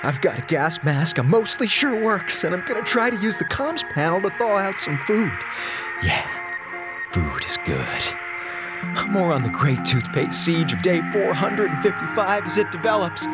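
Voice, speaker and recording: A man's voice: sits high, very animated, moderate pace, loud music behind the voice, low-quality recording. An actor playing a sarcastic, self-pitying male comms officer stranded in space, talking to himself in a running monologue. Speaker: communications officer. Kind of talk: audio drama acting.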